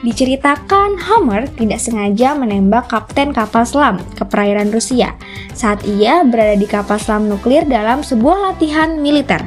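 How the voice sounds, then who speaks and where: A woman's voice: a little high, expressive, normal speed. A woman reading a scripted explainer narration as the narrator of a video channel. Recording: music bed under the voice. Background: background music.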